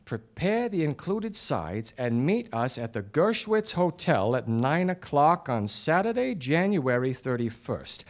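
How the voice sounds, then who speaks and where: An actor playing a self-assured, accusing 1940s gumshoe detective in a live radio play, with a declamatory delivery. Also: male voice, on the high side, big pitch swings, measured pace, hardly any pauses. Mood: reading aloud. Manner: theatrical, declamatory. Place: theatre stage before a live audience.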